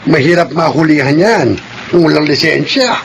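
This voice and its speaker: A chummy Filipino sidekick in a TV drama. His voice is high for a man with a gravelly creak. There is clear noise behind him. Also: expressive, moderate pace. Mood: curious, teasing. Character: jovial drinking buddy and sidekick of thug. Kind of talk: Filipino television drama acting.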